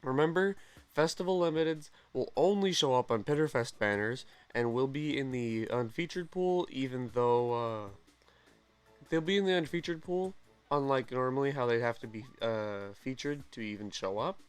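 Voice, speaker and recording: A young man talks through gacha game news in a loose, rambling way. He gets excited, trips over his words and corrects himself as he goes. Faint music plays underneath. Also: medium pitch, moderate pace, clear, resonant tone. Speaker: gaming channel host. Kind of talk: casual game news commentary.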